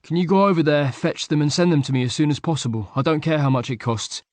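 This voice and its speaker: A man voicing a tense, prickly young man who talks in a clipped, impatient way, raised above his narrating pitch and a bit louder. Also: quick pace, dry recording, close to an everyday voice.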